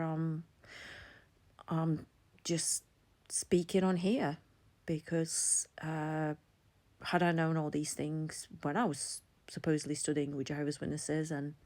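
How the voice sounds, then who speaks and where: Woman, forties, deep low voice, chatty candid vlog talk. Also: a little mellow. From Latvia. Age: 40s. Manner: chatty, candid, laughs between thoughts. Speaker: vlogger. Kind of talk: casual personal commentary.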